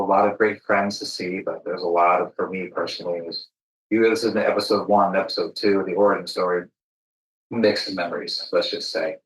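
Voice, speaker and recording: A man's voice: low-pitched, recorded from a distance. A man hosting a podcast in casual conversation, talking in an informal, chatty way. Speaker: podcast host. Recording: roomy echo, off the mic.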